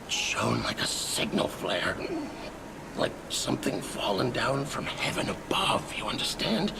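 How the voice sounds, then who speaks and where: Haunted sailor, game monologue: very creaky and gravelly, widely swinging pitch, guilt-ridden and brooding, noisy ambience behind. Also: male voice, full character voice. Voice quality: gravelly, breathy rasp. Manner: halting, haunted, brooding storytelling. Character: haunted, guilt-ridden man, a seafaring storyteller. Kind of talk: dramatic game cutscene monologue. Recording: heavy background ambience noise.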